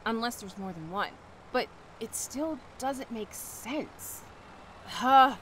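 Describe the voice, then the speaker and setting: A woman playing a brisk, no-nonsense animal expert in an audio drama. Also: a little high, animated, fairly bright tone, a little hiss or hum, low-quality recording. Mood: puzzled.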